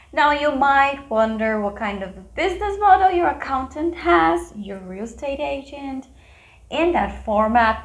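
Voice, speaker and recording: Woman with a Brazilian accent, bright-pitched explainer voice, chatty asides, roomy echo; for a lesson read. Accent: Brazilian Portuguese. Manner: playful, chatty asides to the viewer. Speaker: business educator.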